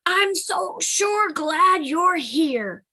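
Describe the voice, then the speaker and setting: A bold, boisterous little bunny from a picture book roars out her lines, high and slow, every word stretched wide. A woman puts her on while reading the story aloud. The voice swings lively up and down. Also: heavily acted. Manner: roaring, drawn-out and emphatic. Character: small loud bunny who insists she is a bear.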